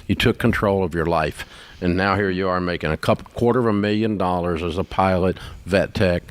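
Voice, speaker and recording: A man's voice: low voice, fairly even intonation, a little fast, clear, resonant tone. A middle-aged man hosting a call-in advice show in a folksy, upbeat, emphatic way. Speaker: radio show host. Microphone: studio microphone.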